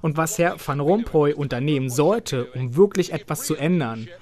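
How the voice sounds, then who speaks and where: A man's voice: a little high, expressive, normal speed, darkish tone. A man delivering a voice-over translation of a political speech as an interpreter. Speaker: interpreter voicing a parliament speech in German translation.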